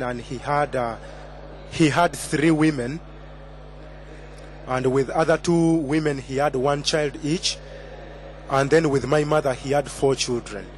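A young man from Uganda tells his story at a podium microphone, stopping often to restart his sentences. His voice sits at a middling pitch, and a faint hum runs underneath. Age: 20s. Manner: hesitant, many fillers and restarts. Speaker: conference speaker. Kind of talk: personal testimony.

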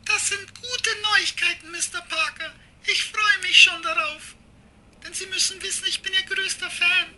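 A woman playing a very high-pitched, formal lady publisher. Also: steady tone, moderate pace, background noise. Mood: pleased, admiring. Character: polite but stern female New York publisher, admiring fan. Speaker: publisher on a phone call. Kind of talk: amateur radio play dialogue.

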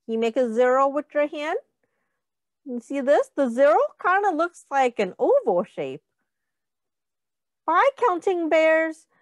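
High, sing-song woman reading to children. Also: big pitch swings, unhurried, pauses often, no room sound. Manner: sing-song, encouraging, child-directed, asking questions. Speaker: teacher reading a picture book aloud. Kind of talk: children's read-aloud with counting prompts.

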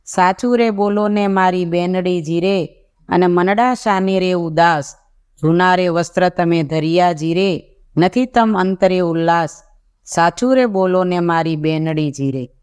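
A narrator voicing a saintly royal brother in a folk tale, caring and gently commanding, at times reciting verse. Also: mid-range voice, measured pace, clear, resonant tone, lightly acted. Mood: concerned.

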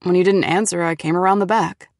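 A woman's voice: on the low side, steady tone, quick pace, darkish tone, no echo, clean studio sound, pitched below the performer's own voice, natural voice. A woman voicing a casual, teasing teenage boyfriend in an audiobook story. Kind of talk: audiobook character dialogue.

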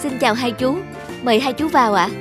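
Earnest, high-voiced young woman, played by an actress. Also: a little fast, lightly acted. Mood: polite. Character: earnest, envious young woman running a noodle shop. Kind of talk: animated drama voice acting. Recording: loud music under the voice.

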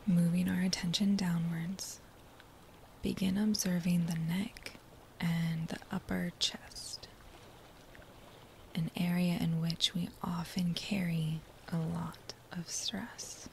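A woman guiding a body scan meditation in a soft, breathy near-whisper, with a gentle, soothing manner and unhurried phrases. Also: lowish voice, little pitch movement. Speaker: meditation guide. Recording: faint background noise.

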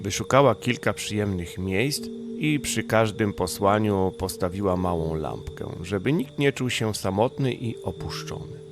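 A man's voice: fairly low pitch, lively intonation, measured pace, few pauses, noisy background. A man narrating a children's bedtime audiobook in the style of a storyteller. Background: music.

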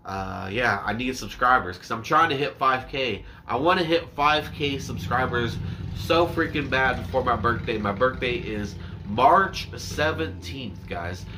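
Bright-voiced rambling young man. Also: medium pitch, slight background noise. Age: 20s. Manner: rambling, self-deprecating, drawn-out words. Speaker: reaction YouTuber. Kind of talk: casual chat to camera. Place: small indoor room with dark backdrop.

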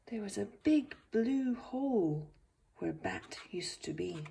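Expressive woman reading a picture book, slow. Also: medium pitch, vocal fry, a little hiss or hum. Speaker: author reading her picture book aloud. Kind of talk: storybook read-aloud for young children.

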